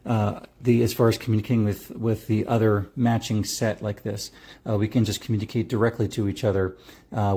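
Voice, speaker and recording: Mellow-voiced middle-aged man, casual reviewer. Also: fairly low pitch, slightly rough. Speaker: product reviewer.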